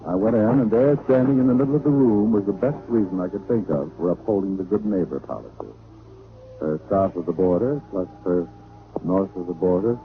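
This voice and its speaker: An actor playing a charming, wisecracking hardboiled detective with an American accent, acting and narrating in an old radio drama. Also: male voice, deep voice, brisk, phone-quality sound, over music. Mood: wry narration. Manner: glib, breezy wisecracks. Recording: thin, noisy vintage radio recording.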